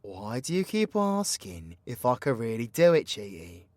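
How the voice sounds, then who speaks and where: A man's voice: medium pitch, big pitch swings, normal speed, a little mellow, no echo, close to an everyday voice. A British man playing a cocky, foul-mouthed, vengeful supervillain magician in an audio roleplay, with slangy, theatrical, teasing banter. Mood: bitter, threatening. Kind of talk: ASMR-style audio roleplay, addressing an unheard companion.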